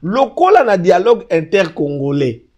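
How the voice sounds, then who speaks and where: A man's voice: sits high, very animated, normal speed, darkish tone. Emphatic Congolese man explaining law. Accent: Congolese French. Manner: mixes French and Lingala, emphatic, repeats fillers when searching for words. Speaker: guest. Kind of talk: political talk show discussion.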